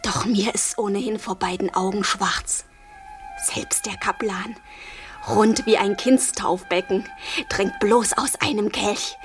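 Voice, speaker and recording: A woman's voice: medium pitch, big pitch swings, slow pace, faint music behind. An actress playing a devoted, impulsive fifteen-year-old servant girl in a theatrical radio play, with ardent, poetic delivery. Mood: hushed adoration.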